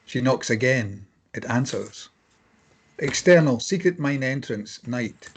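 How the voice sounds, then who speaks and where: A man's voice: mid-range voice, lively intonation, slow pace, breathy edge. A man reading scripted stage directions as narrator at a table read.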